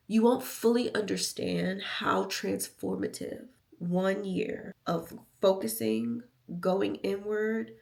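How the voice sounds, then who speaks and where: Creaky-voiced American woman, candid and playful. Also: medium pitch. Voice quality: slightly raspy and nasal. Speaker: vlogger. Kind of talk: casual confessional vlog chat.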